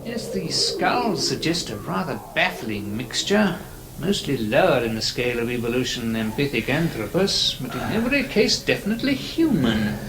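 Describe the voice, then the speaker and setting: An actor playing a curious, learned gentleman scientist in a horror radio drama, musing with detached fascination. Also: medium pitch, very animated, moderate pace. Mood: fascinated, musing. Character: curious, scholarly gentleman scientist examining ancient bones. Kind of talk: old-time radio horror acting. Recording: clearly noisy radio drama recording.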